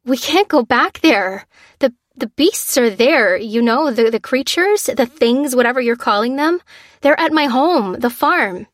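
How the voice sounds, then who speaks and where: A woman's voice: sits high, animated, measured pace, no echo, studio quality, voice pushed up. A woman voicing a frightened young woman on the run, pleading and stammering in a raised voice. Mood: panicked pleading. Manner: pleading, stammering, rising to near-shrieks.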